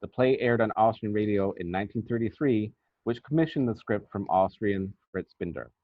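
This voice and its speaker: A man's voice: fairly low pitch, moderate pace, dry recording. A man reading a scripted academic paper aloud, on a muffled, narrow-band recording. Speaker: academic researcher presenting a paper.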